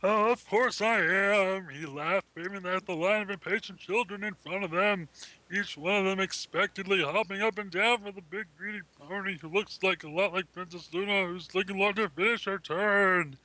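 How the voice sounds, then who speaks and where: A man's voice: sits high, normal speed, bright, forceful tone, rough recording. A man reading a fan story aloud in an amateur group podcast, mixed with casual banter.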